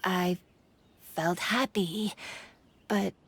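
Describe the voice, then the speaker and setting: A woman voicing a gentle, melancholy young yokai spirit in an anime game, soft, breathy and hesitant. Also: lowish voice, fairly even intonation, slight background noise. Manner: hesitant, wistful, measured.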